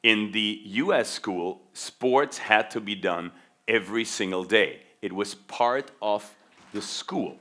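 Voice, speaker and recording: A man's voice: on the low side, takes it slowly, fairly bright tone. A middle-aged man from Germany who left his German accent behind as a teenager, giving a motivational keynote talk on leadership and stressing key words with repetition. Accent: faint American-schooled German. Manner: emphatic, stretches key words, repeats for stress. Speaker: keynote speaker.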